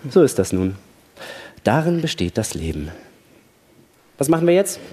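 A man's voice: mid-range voice, sing-song, moderate pace, dark tone, slightly rough. An actor in a staged radio play reading, playing a bored, needling male astronaut with a casual, sardonic way of talking. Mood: bored, teasing.

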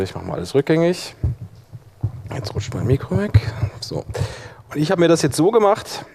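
A man's voice: medium pitch, a little mellow. A man with a mid-range voice leads a hands-on workshop in front of a room. He speaks informally, like someone explaining his own workflow step by step. He thinks aloud as he demonstrates. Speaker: workshop presenter. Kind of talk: informal live software tutorial. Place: live talk in a conference room.

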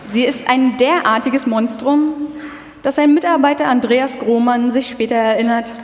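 Female documentary narrator, fairly high voice, live scripted read, long hall reverb. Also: moderate pace.

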